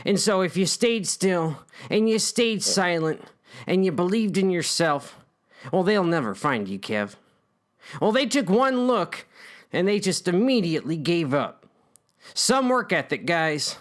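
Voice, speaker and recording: A man's voice: high voice, sing-song, a little slow. A man narrating his own comic story in an informal, mock-dramatic way with conversational asides.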